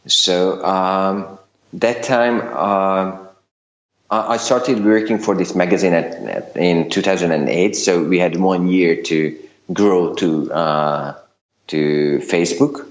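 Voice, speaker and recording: A man's voice: low-pitched, steady tone, takes it slowly. A middle-aged man with a Hungarian accent, talking as an interview guest in a hesitant way, with many fillers and restarts. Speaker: guest, LinkedIn consultant. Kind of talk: casual interview talk. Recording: roomy, dull lo-fi recording.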